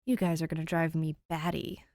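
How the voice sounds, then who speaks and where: A woman voicing an animated, playful young ghost boy who likes to tease, in a storybook reading. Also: low-pitched, a little fast, gravelly, dry recording. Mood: teasing.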